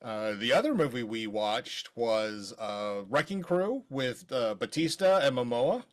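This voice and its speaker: A man's voice: medium pitch, lively intonation, unhurried. A middle-aged man from Maryland co-hosting a podcast, chatting casually with jokey, rambling asides. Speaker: podcast co-host. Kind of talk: casual chat.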